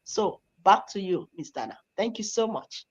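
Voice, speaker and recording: A woman's voice: medium pitch, lively intonation, normal speed. A woman introduces herself as a guest on a live video call. She speaks politely and moves easily between languages. The call audio is muffled and lo-fi. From Nigeria. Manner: warm and polite, switching languages. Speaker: panel guest.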